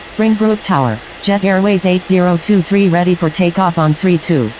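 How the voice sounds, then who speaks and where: A woman's voice: lowish voice, moderate pace, darkish tone, close to an everyday voice, low-quality recording. A woman playing an airline pilot making clipped, businesslike radio calls in an air traffic control game. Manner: clipped, formulaic, businesslike callouts.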